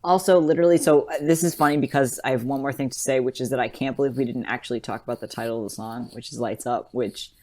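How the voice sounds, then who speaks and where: This is a podcast co-host with a medium-pitched voice, talking in a casual fan-chat style. The delivery is effusive and gushing, with phrases repeated for emphasis. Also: steady tone, fast talker, few pauses, a little mellow.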